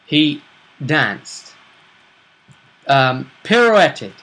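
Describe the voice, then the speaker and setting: A man's voice: on the high side, very animated, very slow, a bit bright, low-quality recording. Casual man recapping a game, jokey. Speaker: host of a tabletop role-play vidcast. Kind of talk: casual chat recapping a role-play game tournament.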